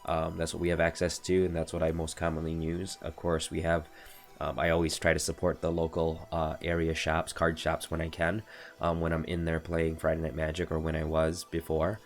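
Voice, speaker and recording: Stuffy low-voiced American man, gravelly. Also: monotone, normal speed. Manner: rambling, many fillers and self-corrections. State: stuffy from allergies. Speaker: streamer reviewing card game accessories. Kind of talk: casual product review livestream. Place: home desk room.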